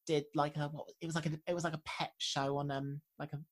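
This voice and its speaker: A woman's voice: low-pitched, level tone, fast. A young woman, chatting casually and filling her speech with "like" and "um". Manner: chatty, heavy on "like" and "um". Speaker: podcast co-host. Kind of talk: casual chat.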